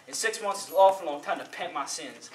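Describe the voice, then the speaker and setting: Guy doing a sly folksy trickster from a folktale, kinda high voice, mock sorry, echoey and noisy. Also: lively intonation, a little fast, close to an everyday voice. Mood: scheming. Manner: folksy, mock-apologetic, bargaining. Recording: roomy, noisy recording from far off.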